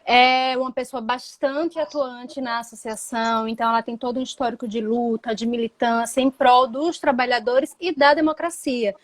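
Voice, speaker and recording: A woman's voice: on the high side, steady tone, normal speed, few pauses. A woman lawyer hosting a live video conversation, introducing her guest and explaining a legal aid project, often adding tag questions.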